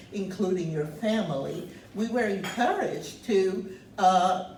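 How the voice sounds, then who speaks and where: A woman in her seventies from Cuba, speaking loudly and earnestly as she tells her life story, pausing on many fillers. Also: fairly low pitch, slight background noise. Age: 70s. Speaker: guest speaker sharing her refugee story. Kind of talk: personal testimony talk. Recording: roomy, distant mic, faint ambience.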